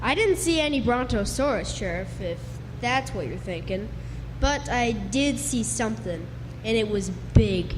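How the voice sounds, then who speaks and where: Woman as high-voiced earnest frontier boy. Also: expressive, measured pace. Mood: earnest, uneasy. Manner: earnest, emphatic storytelling. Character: earnest, bookish frontier boy, insistent and excitable. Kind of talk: live Western radio drama acting. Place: live stage performance indoors. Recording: noisy live stage, reverberant hall.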